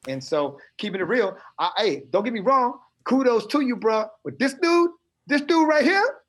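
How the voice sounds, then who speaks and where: A middle-aged American man, a guest on a casual podcast interview, talking in an animated, emphatic, streetwise way. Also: very high voice, moderate pace. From United States.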